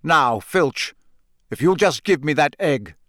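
A man voicing a gruff, battle-scarred older male professor in an audiobook, growling, taunting and sardonic with quiet menace. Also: fairly high pitch, expressive, no echo, studio quality. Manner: barking, taunting, deliberate. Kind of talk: audiobook character voice.

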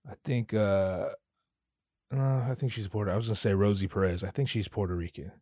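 A man's voice: lowish voice, fairly quick, warm tone, dry recording, clean studio sound. A man from the US Northwest hosting a solo comedy podcast, rambling and cursing with lots of restarts. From US West Coast, Pacific Northwest. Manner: profane, rambling, self-interrupting. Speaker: podcast host.

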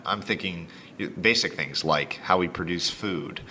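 A man's voice: measured pace, pushed and bright. A man hosting an interview in a conversational style, exploring ideas through long, layered questions. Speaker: podcast host, interviewer. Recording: noisy background with low rumble.

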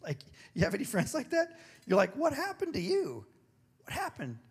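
A man preaching a sermon with a throaty, hoarse voice, moving between emphatic declarations and casual, joking asides. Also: very high-pitched, huge pitch swings, normal speed. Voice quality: gravelly, throaty. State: hoarse after singing. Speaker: pastor.

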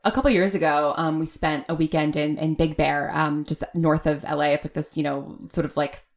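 A woman's voice: low voice, fairly even intonation, brisk. A woman, talking casually and effusively as an interview guest, rambling and repeating words for emphasis. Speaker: guest, audio fiction creator.

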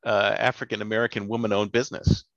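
A man's voice: lowish voice, level tone, quick pace, fairly bright tone, dry recording. A man in casual chat who talks sarcastically and laughs often. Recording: dull lo-fi sound.

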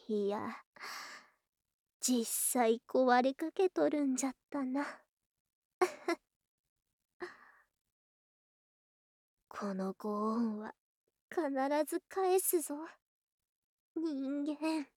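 High-pitched haughty snake-spirit girl. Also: a little mellow, dry recording, clean studio sound, full character voice. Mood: weak, grateful. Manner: archaic haughty old-fashioned speech, theatrical. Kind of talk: Japanese ASMR situation voice drama.

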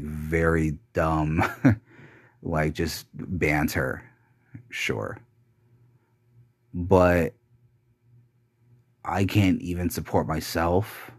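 A young man speaks in a very low, heavy voice, dragging his words out slowly with long pauses. He sounds bitter and blunt and swears freely. The recording is close and dry, with no room sound. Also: no echo. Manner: slow, heavy delivery with trailing pauses. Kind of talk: personal confessional monologue.

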